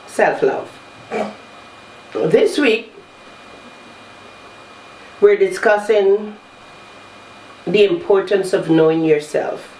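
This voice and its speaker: A woman hosting a talk show, congested from a cold, coaching listeners in a slow, measured way. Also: medium pitch. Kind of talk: reflective self-help talk. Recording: roomy echo, distant mic, faint noise.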